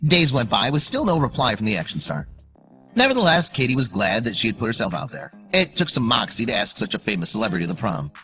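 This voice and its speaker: A man narrates a scripted story for online video with suspense and emphasis. His bright, forceful voice sits at a middle pitch and moves in lively swings. Music plays softly behind him. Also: quick pace. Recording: music under the voice. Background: background music.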